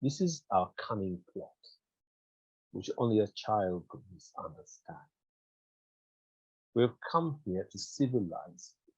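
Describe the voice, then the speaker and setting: Man reading as a husky, sardonic colonial officer. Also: lowish voice, very animated, slow pace, long pauses, a little mellow, no echo, lo-fi sound, full character voice. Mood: condescending, sardonic. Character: sardonic, condescending male German colonial officer.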